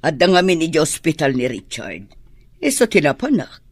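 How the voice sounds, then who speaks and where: A woman's voice: sits low, wildly swooping pitch, moderate pace, husky, no echo, full character voice. An actress with an Ilocano Filipino accent playing an exasperated, well-off middle-aged mother in a radio drama. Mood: troubled.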